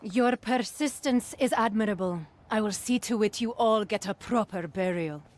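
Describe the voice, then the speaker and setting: A woman's voice: mid-range voice, moderate pace, lightly acted. An actress playing a bitter, fatalistic female warlord in a video game, solemn and ominous in formal phrases. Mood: cold, menacing. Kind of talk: cinematic video game acting.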